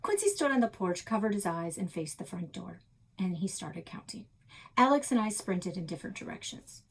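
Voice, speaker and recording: A woman narrating a children's novel aloud in an expressive storytelling style. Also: mid-range voice, normal speed, husky, rough recording.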